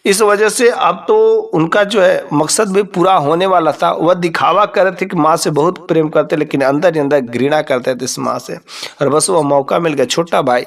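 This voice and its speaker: A man with a fairly high voice tells a devotional moral story. He talks in a colloquial, repetitive storytelling flow with dramatic emphasis. He sounds a little far from the mic. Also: normal speed, gravelly.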